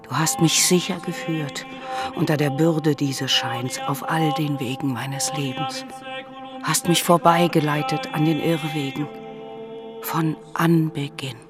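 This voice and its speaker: A devout, secretive pope , voiced by a man, prays in a fairly high voice with earnest reverence. The solemn radio drama monologue sits against prominent music. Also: fairly even intonation, unhurried, natural voice. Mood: humble, devout. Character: devout, anxious pope secretly disguised, praying.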